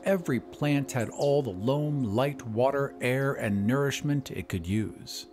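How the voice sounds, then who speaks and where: A man hosting a podcast and narrating an audio drama in a measured, expressive way. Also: mid-range voice, faint music behind. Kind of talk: scripted storytelling narration.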